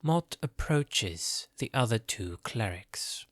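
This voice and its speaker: A man's voice: on the low side, lively intonation, slow pace, fairly bright tone, dry recording. Man narrating fantasy, dry close sound.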